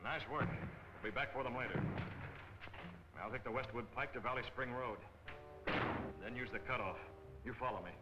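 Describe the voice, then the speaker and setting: An American actor playing a resolute newspaper publisher and masked vigilante in a 1940s film serial. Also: male voice, medium pitch, normal speed, pauses often, close to an everyday voice, poor audio. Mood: commanding. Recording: old 1940 film soundtrack, music behind.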